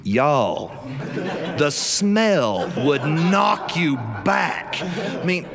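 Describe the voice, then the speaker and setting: A man with a Southern American accent performs as a folksy comic storyteller. His voice sits on the high side and swings widely up and down. He is theatrical, stretching out his punchlines as he plays to a live audience. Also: big pitch swings, extremely slow, gravelly, crowd noise.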